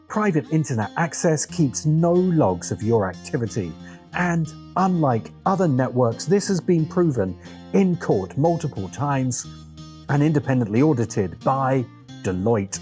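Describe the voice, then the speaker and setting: A man presents a scripted video essay in a soft, mellow tone. His delivery is deliberate and dramatic, with very varied intonation and measured pauses. Music plays underneath him. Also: mid-range voice. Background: background music.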